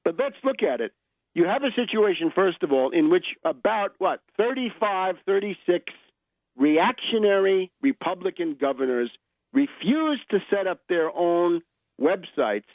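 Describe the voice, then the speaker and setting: A man's voice: fairly high pitch, no room sound. Older American man on a phone-like line. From United States. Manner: polemical, didactic, punctuated with rhetorical tags like "right?". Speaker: radio commentator and host. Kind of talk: unscripted political commentary. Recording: narrow phone-like line, dry.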